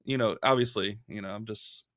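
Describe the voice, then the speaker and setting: A man's voice: lowish voice, fairly even intonation, a little fast, fairly bright tone, no room sound, clean studio sound. A man hosting a casual gaming chat show, talking in a chatty, upbeat way with lots of fillers. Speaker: host.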